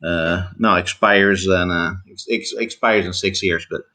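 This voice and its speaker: A man who grew up in Italy speaks slightly formal English as a second language, cutting in to question and press on each step of the argument. His voice sits on the lower side. He talks over a voice call from home. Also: fairly even intonation, pushed and bright. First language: Italian. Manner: interrupting, probing, deliberate. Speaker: debater. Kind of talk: casual online debate. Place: family home.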